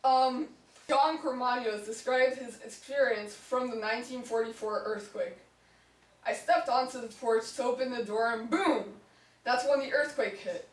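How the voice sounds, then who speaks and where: A woman's voice: on the high side, measured pace, distant mic. A young woman anchoring an amateur mock newscast with a mock-earnest delivery, reading from a script. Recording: echoey room, off the mic.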